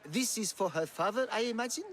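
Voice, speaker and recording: A money-loving market trader for a video game. His voice is high and very animated. He talks like a theatrical, ingratiating salesman in ancient Greece. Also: a little fast. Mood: sly, pleased. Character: brash, money-loving male Greek market merchant. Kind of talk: video game street hawker acting.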